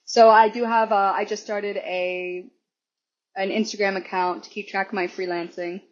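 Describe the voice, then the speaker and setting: A hoarse woman guesting on a podcast, chatting casually and rambling with restarts and laughs. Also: medium pitch, level tone, normal speed, slight background noise. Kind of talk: casual chat. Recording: dull lo-fi recording.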